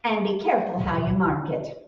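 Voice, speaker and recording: An actress playing a sensible, polite, gently scolding wife in an old-time radio comedy. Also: female voice, on the low side, fairly quick, lightly acted. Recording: echoey room, distant mic, noisy, dull.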